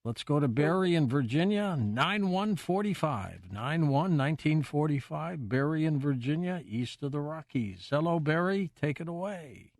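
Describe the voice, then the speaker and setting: Male call-in host, slightly high pitch, close dry sound, short prompts and caller introductions. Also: expressive, normal speed, few pauses, darkish tone, no echo. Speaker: radio call-in show host.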